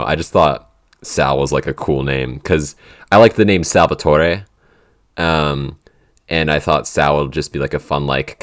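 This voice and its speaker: A man's voice: extremely low pitch, no echo, studio quality. A man hosting a casual podcast Q&A, talking in a rambling, informal way. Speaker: podcast host and show's writer. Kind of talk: casual Q&A chat.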